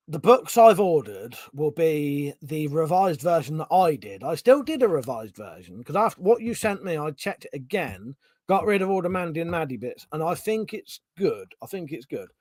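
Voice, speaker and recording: A man's voice: a little high, no echo. A middle-aged man chatting casually on a live stream, reading out chat messages, swearing freely and restarting his sentences.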